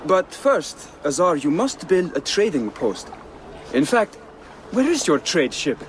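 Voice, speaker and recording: A practical, hospitable Viking settler in a game cutscene. His fairly high voice moves around a lot as he politely explains and directs. Outdoor waterside noise is clearly audible behind him. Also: big pitch swings, measured pace. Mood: welcoming, businesslike. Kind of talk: video game cutscene acting. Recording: noisy outdoor waterside ambience.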